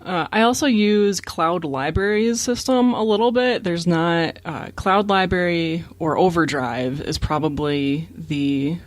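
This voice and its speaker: A man's voice: fairly high pitch, measured pace, few pauses, no echo. A man co-hosting a podcast, explaining things in a casual, matter-of-fact way. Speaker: podcast co-host.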